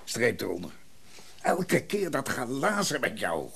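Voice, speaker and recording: An actor playing a blunt, exasperated shopkeeper brother in a radio drama, unforgiving and curt. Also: male voice, mid-range voice, huge pitch swings, a little slow, vocal fry, fairly bright tone, husky, full character voice.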